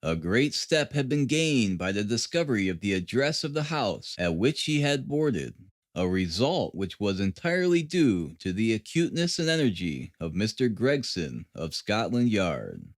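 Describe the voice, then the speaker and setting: A man's voice: on the low side, big pitch swings, normal speed, no room sound, studio quality. A man reading a classic detective novel aloud as scripted audiobook narration.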